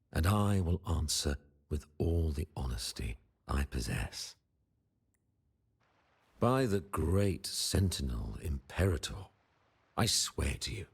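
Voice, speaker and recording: An actor playing a solemn, commanding high priest in a video game, speaking in a pompous, measured, formal and reverent way with a gravelly voice. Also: male voice, exaggerated voice. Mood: solemn, assuring. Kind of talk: video game voice acting, formal ceremonial speech.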